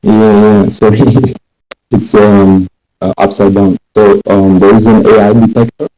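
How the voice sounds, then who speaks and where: A man's voice: on the low side, level tone, a little slow, dark tone, dry recording. Hesitant man on a muffled call. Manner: hesitant, many fillers. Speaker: participant on a voice call. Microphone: distant computer mic on a voice call. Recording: dull, muffled call audio.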